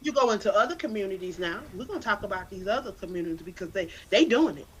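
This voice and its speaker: A woman from South Carolina with a Southern accent talks animatedly as an interview guest. She often repeats words as she builds up a point, with a preacherly drive. Her voice sounds bright and forceful. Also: medium pitch, animated, fast, slight background noise, poor audio. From Anderson, South Carolina. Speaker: guest, literacy campaign founder. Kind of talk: casual interview talk.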